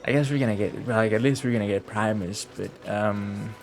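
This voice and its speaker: Casual, low-voiced male game streamer. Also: brisk, vocal fry, darkish tone, faint music behind. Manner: muttering reactions, talking back to game. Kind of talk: casual livestream game commentary.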